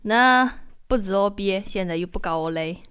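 A woman's voice: mid-range voice, normal speed, a bit bright, clean tone, lightly acted. A woman acting a guarded, naive young female student in a Chinese audio drama, speaking with a regional dialect accent. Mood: resigned. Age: young adult.

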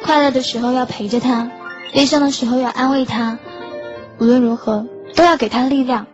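A woman playing a teenage schoolgirl in an audio drama, narrating softly and wistfully. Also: high-pitched, fairly even intonation, fairly quick, lightly acted. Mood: gentle. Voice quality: soft, wistful. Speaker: lead and narrator. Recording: dull lo-fi sound with loud music.

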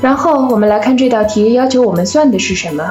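A woman's voice: on the high side, fast, darkish tone, soft background music, natural voice. A woman playing a didactic maths teacher in an audio drama, in roomy, dull sound. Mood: instructive. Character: instructive schoolteacher giving a maths lesson. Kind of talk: Chinese audio drama acting.

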